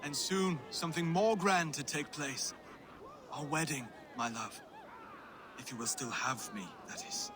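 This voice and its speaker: A man plays a gentle, idealistic Saxon king in a game cutscene. His voice sits fairly high, with formal, measured, pleading phrasing. Clear noise runs behind the dialogue. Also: close to an everyday voice. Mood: gentle, earnest. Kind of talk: video game cutscene acting.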